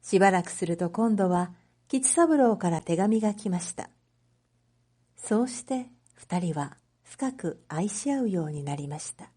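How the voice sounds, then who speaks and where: A woman reading a story aloud as a narrator, in a measured, clearly phrased storytelling manner for reading practice. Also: medium pitch, lively intonation, mellow. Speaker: narrator, Japanese reading teacher.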